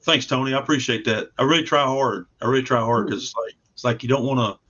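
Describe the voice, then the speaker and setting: A man with an average-pitched voice talks as a guest creator in a casual interview. He is modest and plainspoken in manner. The sound is dull and lo-fi, as on a video call. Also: fast talker, pushed and bright, breathy edge. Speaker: comic book creator, podcast guest.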